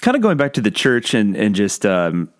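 A man's voice: lowish voice, wildly swooping pitch, normal speed, no echo, studio quality. A man hosting a podcast interview, encouraging his guest with frequent short affirmations. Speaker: podcast host.

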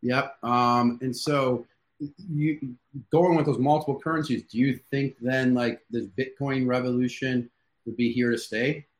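A man's voice: medium pitch, fairly even intonation, a little slow. Male interview host in an echoey room. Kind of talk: casual interview. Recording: echoey, roomy sound.

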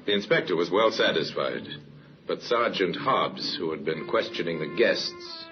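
An actor as the grave narrator of a crime mystery, in vintage radio style. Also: male voice, sits low, lively intonation, unhurried. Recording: dull vintage radio recording with music.

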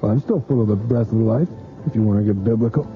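A man's voice: on the low side, fast talker, lightly acted. An actor playing an obsessed, lovesick man who narrates his own brooding thoughts in an old radio drama. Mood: pleading. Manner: brooding inner monologue turning frantic. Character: obsessed lovesick man unraveling over a lost woman. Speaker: lead character and narrator. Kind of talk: 1980s radio drama acting. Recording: dull lo-fi radio recording with loud music.

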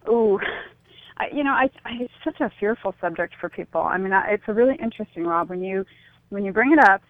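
A woman's voice: mid-range voice, animated, fast, no room sound. Woman, middle-aged, radio guest by phone, reflective, hesitant restarts, tinny phone line. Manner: reflective, hesitant restarts, soft breathy exclamations. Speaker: guest, suicide prevention advocate.

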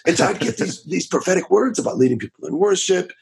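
A man in his thirties from Florida, a preacher telling his story in a casual interview, with a raspy voice, talking loud and fast with many restarts. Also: high voice, expressive. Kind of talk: casual testimony in a podcast interview.

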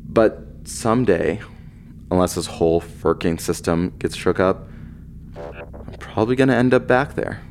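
Low-voiced, wry spaceship crewman. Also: a little slow, darkish tone, a little hiss or hum. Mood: wry, confessional. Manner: wry, teasing, casual. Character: wry, loyal runaway male nobleman turned spaceship crewman. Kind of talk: science fiction radio drama acting.

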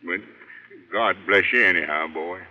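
An actor playing a crazy, big-hearted old cowboy with a rural Western American accent and a folksy, stammering manner in a radio drama. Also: male voice, low voice, normal speed, over music, close to an everyday voice. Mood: earnest, grateful. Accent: rural American West. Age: older. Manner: folksy, stammering, repeats himself. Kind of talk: 1950s radio drama acting. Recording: dull vintage 1950s radio recording.

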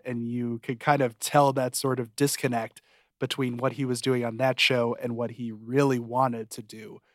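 A man's voice: medium pitch, dry recording, clean studio sound. A man speaking as a podcast co-host in a casual conversation about television. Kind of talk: casual TV review podcast chat.

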